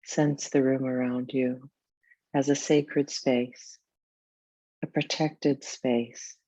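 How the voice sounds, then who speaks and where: A woman teaching yoga nidra, narrating a guided meditation in a soothing, deliberate way with measured pauses. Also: very deep voice, dark tone, no echo, dull audio.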